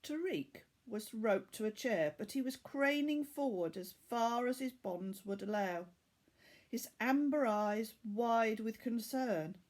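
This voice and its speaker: A woman narrating a children's story aloud like a teacher, measured and expressive. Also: a little high.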